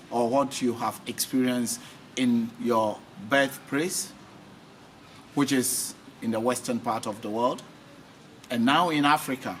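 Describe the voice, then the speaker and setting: A man with a Ghanaian accent hosts an online interview in a medium-pitched voice. He questions emphatically and repeats his points. Clear ambient noise sits behind his speech. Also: a bit bright. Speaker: host, interviewer. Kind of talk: on-camera interview for an online talk show. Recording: clearly noisy ambient background.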